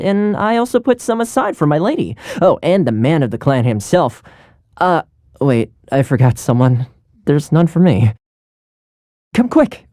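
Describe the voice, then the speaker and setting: A kind young male game character, a polite and caring housekeeper. His delivery is easygoing and earnest, with a soft, mellow tone and lively, swinging intonation. The sound is dry and close. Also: on the high side, dry recording. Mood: friendly, cheerful. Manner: warm, easygoing, chatty, earnest. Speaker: video game voice actor. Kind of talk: scripted game character voice lines.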